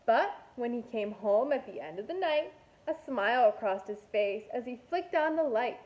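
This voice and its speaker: Female kindergarten teacher for picture-book read-aloud: high voice, expressive storytelling, slightly dull home recording. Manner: expressive, deliberate storytelling with playful asides.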